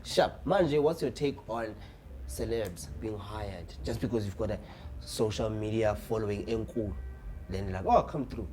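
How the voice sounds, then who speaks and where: A man's voice: lowish voice, moderate pace, dark tone, a little hiss or hum. A young man with a South African accent hosting a casual podcast, playful and teasing, switching between languages as he asks questions. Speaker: podcast host. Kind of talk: casual interview chat.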